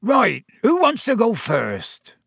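A male narrator plays a giant of a countryman, hearty and enthusiastic, pitched high and swinging widely. He teaches in booming, folksy, ungrammatical storybook speech, recorded dry and close. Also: wildly swooping pitch, slow pace, gravelly, a bit bright, husky, dry recording, exaggerated voice. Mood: earnest instruction. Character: huge, hearty, enthusiastic man, rustic creature-keeper and teacher. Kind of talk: audiobook character voice.

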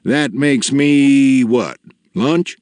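A man's voice: mid-range voice, very slow, darkish tone, dry recording, pitched above the performer's own voice. A man voicing a small, brave storybook villager who is earnest and persuasive. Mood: solemn, pleading. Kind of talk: storybook character voice.

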